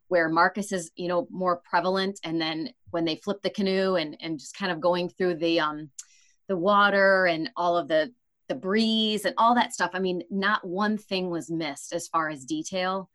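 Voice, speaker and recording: A woman's voice: on the low side, steady tone, moderate pace, fairly bright tone. A woman podcast host talking conversationally as she interviews guests.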